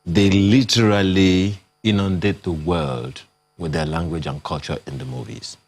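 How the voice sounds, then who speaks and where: A man hosting a TV talk show, putting questions to his guests in a measured way. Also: sits low, steady tone, breathy edge. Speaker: TV host. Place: TV studio.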